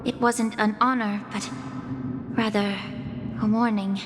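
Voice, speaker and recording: An actress playing a composed, guarded young healer official in a video game, speaking formally and politely with restraint. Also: female voice, medium pitch, little pitch movement, unhurried, big-room sound, slight background noise, natural voice. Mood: grave. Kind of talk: scripted game dialogue.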